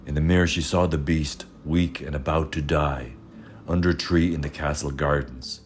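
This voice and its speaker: A man reading a fairy tale aloud as storyteller, measured and expressive in his scripted narration. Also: rumbling low, soft background music.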